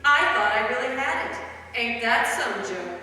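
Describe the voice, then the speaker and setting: A woman's voice: on the high side, expressive, moderate pace, sharp tone. A woman playing a wisecracking, flippant noir dame in a radio parody, slangy and unbothered. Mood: mock innocent. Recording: echoey hall recording from far off.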